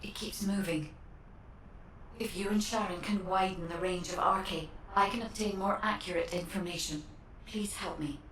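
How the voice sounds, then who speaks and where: A woman's voice: medium pitch, a bit bright, close to an everyday voice. An earnest female machine intelligence from a video game, voiced by a woman speaks in careful, formal sentences. The sound is roomy and distant, with some faint noise behind it. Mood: earnest, composed. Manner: formal, measured, precise diction. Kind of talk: scripted video game dialogue.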